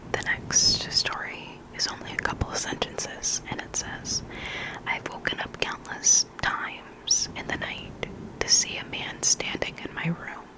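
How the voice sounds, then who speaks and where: A hushed, breathy whisper reading stories slowly and deliberately in ASMR style, close to the microphone. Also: low-quality recording. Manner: slow, deliberate, hushed, with repeated trigger words. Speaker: host reading listener horror stories.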